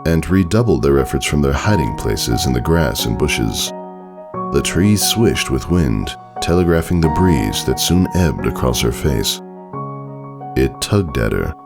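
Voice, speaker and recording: Male story narrator, extremely low pitch, lively intonation, measured dramatic pauses, music underneath. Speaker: fan fiction narrator. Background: background music.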